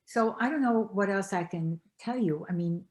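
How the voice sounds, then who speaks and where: An older woman from Belgium, an author being interviewed, telling her story in a reflective, halting way with many restarts. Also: mid-range voice, darkish tone.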